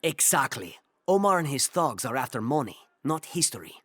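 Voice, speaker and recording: A jumpy, defensive trader. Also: male voice, mid-range voice, sing-song, moderate pace, sharp tone, no room sound, studio quality. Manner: jumpy, defensive, then salesy. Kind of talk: video game cutscene acting.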